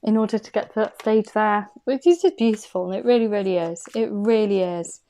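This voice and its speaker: A woman's voice: medium pitch, a little fast, warm tone. A woman giving an intuitive tarot reading, chatty and effusive, thinking aloud as she turns the cards. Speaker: tarot card reader.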